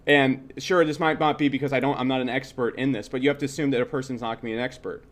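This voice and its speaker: A man's voice: medium pitch, fairly even intonation, fast talker. A man teaching a casual screen-share tutorial, speaking bluntly and conversationally, repeating himself and checking in with short tags. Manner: blunt, opinionated, swears freely. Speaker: software instructor.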